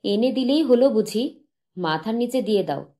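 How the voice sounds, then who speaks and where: A woman's voice: on the high side, animated, normal speed, warm tone, voice pushed up. A woman voicing a sick, bitter husband in an audiobook, peevish, accusing and commanding. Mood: irritable. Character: sick, bitter, demanding husband, suspicious and resentful. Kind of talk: audiobook character dialogue.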